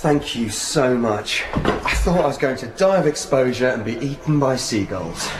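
A man's voice: mid-range voice, lively intonation, moderate pace, heavy background noise, lightly acted. An actor in a comic radio play, playing a stranded, persistent male fan with an earnest, eager manner. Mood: earnest, polite.

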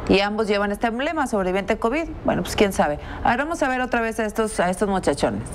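A woman's voice: medium pitch, animated, fast talker, very noisy. A middle-aged woman with a Mexican accent, commenting on the news as an indignant, emphatic TV anchor. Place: TV studio at a news desk. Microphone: lapel mic.

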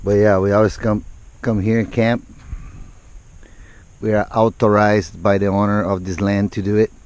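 A middle-aged man giving relaxed, unhurried running commentary for his vlog, recorded outdoors on an action camera. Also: on the low side. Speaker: vlogger. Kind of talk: casual vlog narration. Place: outdoors in open desert.

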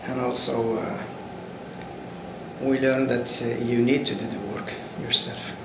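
A man's voice: medium pitch, steady tone, unhurried. A man in his fifties from Morocco, answering interview questions about his career in a hesitant way, with many fillers and restarts. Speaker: agricultural researcher. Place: indoor room with curtain backdrop. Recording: roomy sound with air-conditioning hum.

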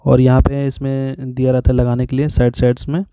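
A man in his thirties from India, a camping vlogger who chats casually while showing each step of pitching a tent on an open hillside. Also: monotone, fairly quick, dark tone, smooth, no room sound. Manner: chatty, repeats "so doston", shows each step. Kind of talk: casual how-to vlog. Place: open hillside meadow outdoors.

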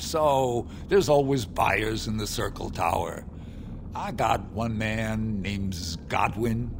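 An actor voicing a sly middle-aged male dwarf smuggler in a video game, making a wheedling sales pitch. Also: gravelly, background noise, full character voice. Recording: game audio with music behind.

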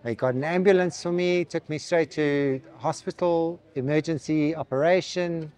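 A man's voice: on the high side, moderate pace, darkish tone. A man in his sixties with a South African accent, speaking emphatically outdoors in a town square. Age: 60s. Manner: emphatic, praising. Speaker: pilgrim interviewee.

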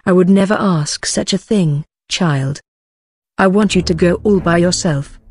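A woman's voice: on the low side, unhurried, darkish tone, breathy edge, no echo, studio quality. A woman voicing a stern, resolute female warrior guardian in a motion comic, with a solemn, commanding manner. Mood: pointed, persuasive. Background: background music.

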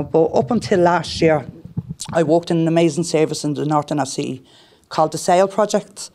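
A woman's voice: sits low, mellow. A woman with a Dublin accent speaking at a conference panel, nervous and conversational, with many fillers, into a handheld mic in a meeting room. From Ireland. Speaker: panel speaker, community development worker. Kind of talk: panel presentation. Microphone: handheld microphone.

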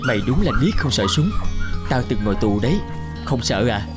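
A man voicing a lonely, soft-hearted ex-convict in a cartoon drama. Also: medium pitch, big pitch swings, fairly quick, loud music behind the voice, close to an everyday voice. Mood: playful, wry. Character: lonely male ex-convict, gentle with animals.

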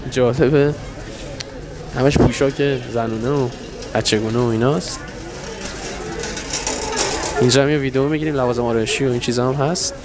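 A man's voice: mid-range voice, steady tone. Man, walking vlog narration, conversational and musing, outdoor camera mic, heavy street noise. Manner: musing, conversational, with filler pauses. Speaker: walking tour vlogger. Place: busy outdoor shopping street. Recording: loud street traffic noise behind.

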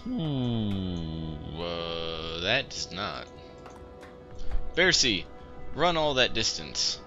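A young man with a low voice talks at an unhurried pace, his tone rising and falling in lively swings as he muses over a strategy game. He is wry and jokingly bosses the characters around. Chiptune music plays clearly behind him while a shower runs somewhere nearby. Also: highs cut off. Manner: wry, barks playful orders at game characters. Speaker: gaming video host. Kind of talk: casual let's-play commentary. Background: chiptune music playing and a shower running.

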